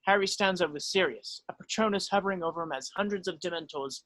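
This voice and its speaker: High-voiced man reading stage directions. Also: fast, a bit bright. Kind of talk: scripted narration at a table read.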